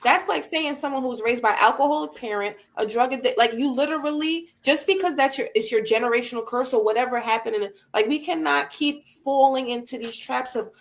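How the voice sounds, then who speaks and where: A woman's voice: sits high, a little fast, hardly any pauses. A woman in her thirties, a guest on a casual panel, arguing in a heated way. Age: 30s. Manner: heated, argumentative.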